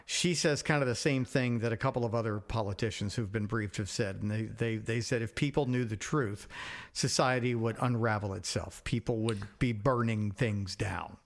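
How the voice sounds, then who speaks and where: A man's voice: fairly low pitch, moderate pace, creaky. A man co-hosting a podcast, musing in a plain-spoken, wry way as he shares his opinions in casual chat. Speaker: podcast co-host.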